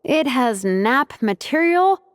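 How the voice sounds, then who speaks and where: Laid-back, highish-voiced young woman. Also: big pitch swings, takes it slowly, no echo, clean studio sound, heavily acted. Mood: relaxed, sleepy. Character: laid-back, nap-loving young woman, confident and breezy. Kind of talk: English anime-style video game dub.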